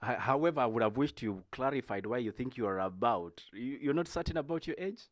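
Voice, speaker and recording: A man hosting a political discussion, speaking in a measured way with frequent fillers. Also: mid-range voice, animated, no room sound. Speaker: host.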